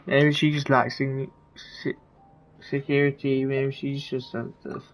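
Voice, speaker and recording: A man casually reading aloud and chatting while playing a video game, halting and stumbling over words. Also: mid-range voice, level tone, faint music behind, low-quality recording.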